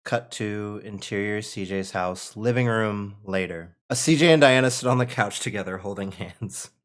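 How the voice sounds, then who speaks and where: A man's voice: lowish voice, moderate pace. A man reading out the stage directions and narration at a screenplay table read.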